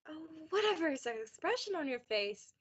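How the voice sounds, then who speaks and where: A woman's voice: high voice, big pitch swings, brisk. A young woman playing a devoted, anxious young wife in a radio play, tender and pleading. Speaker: student actor in a high school radio play. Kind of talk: stage-like radio play acting. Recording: dull lo-fi recording.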